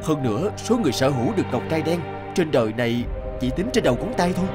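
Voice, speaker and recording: A greedy, boastful treasure collector from a cartoon fable. His voice sits fairly high and leaps about theatrically. He exclaims with proud, obsessive intensity, and music plays underneath. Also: very animated, fast talker. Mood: self-satisfied, fussy. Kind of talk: animated fable voice acting.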